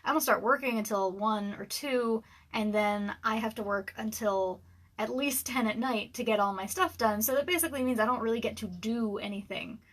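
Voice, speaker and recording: A woman in her thirties with a scratchy voice, rambling casually on a livestream from her dark room at home, with lots of ums and little laughs. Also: on the high side, moderate pace. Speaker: author and livestream host. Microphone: webcam livestream.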